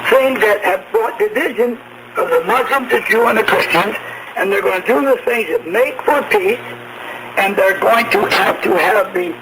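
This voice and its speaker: High-pitched male preacher on noisy shortwave. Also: vocal fry. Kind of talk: apocalyptic prophecy sermon. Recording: noisy shortwave radio broadcast with hum.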